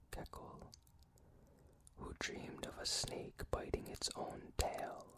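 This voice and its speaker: A person whispers through an ASMR reading without ever voicing the words. The delivery is very slow and careful, broken into short phrases with pauses between them. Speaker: reader.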